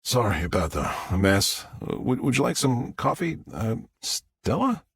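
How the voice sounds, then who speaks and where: A man's voice: mid-range voice, very animated, vocal fry, a bit bright, husky, no echo, voice pushed up, exaggerated voice. A man playing a rattled, grieving adult son who stammers and halts through his lines in an audiobook. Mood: nervous, eager. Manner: stammering, halting, flustered. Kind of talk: audiobook character dialogue.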